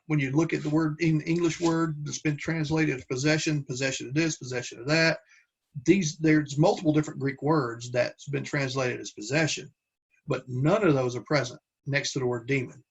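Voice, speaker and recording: A man teaching a Bible class from slides, talking in a halting way with many restarts and fillers. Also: fairly high pitch, fairly even intonation, slightly rough, dull audio.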